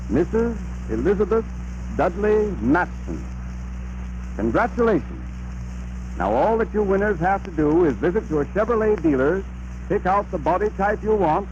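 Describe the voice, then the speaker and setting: A high-voiced American man announces on old-time radio, formal and emphatic, with big swings in pitch. The recording is dull and noisy. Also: a little mellow. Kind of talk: 1930s radio announcing, scripted.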